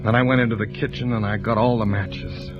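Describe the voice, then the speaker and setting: An actor playing an anguished husband and father, narrating in a grave, deliberate 1940s radio style. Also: fairly low pitch, fairly even intonation, close to an everyday voice. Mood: somber narration. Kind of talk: 1940s radio acting, first-person narration. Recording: muffled old radio broadcast, music behind.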